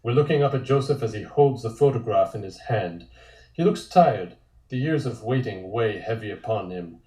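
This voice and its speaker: Mellow man narrating in echoey room. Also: on the low side, animated, normal speed. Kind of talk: reading a comic script aloud.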